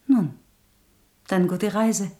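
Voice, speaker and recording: An actress playing a wistful, reserved young variety performer in a radio drama, polite and restrained. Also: female voice, mid-range voice, very slow, warm tone.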